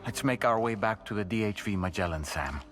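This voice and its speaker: An actor playing a formal, methodical expedition leader in a cinematic video game. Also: male voice, fairly low pitch, expressive, fairly quick, music in the background, close to an everyday voice. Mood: measured.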